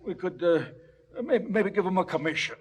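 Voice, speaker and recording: An actor playing an aggrieved, excitable gangland boss in a stylised seventies radio drama, protesting indignantly. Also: male voice, sits high, normal speed, a little hiss or hum. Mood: indignant, pleading. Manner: exclaiming, indignant, swinging between outbursts and subdued muttering. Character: agitated aggrieved male crime boss, protesting and whining.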